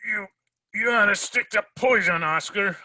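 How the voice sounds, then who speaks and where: An actor playing a tough, hard-boiled private detective in 1940s radio drama style, sardonic and slangy. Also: male voice, high-pitched, normal speed, bright, forceful tone, dry recording, exaggerated voice, low-quality recording. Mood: mocking.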